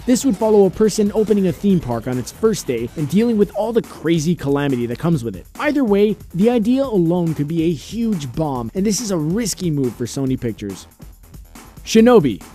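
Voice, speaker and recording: High-pitched man narrating a countdown, lively intonation. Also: brisk. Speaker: narrator of a video game and film countdown. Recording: music bed under the voice. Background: background music.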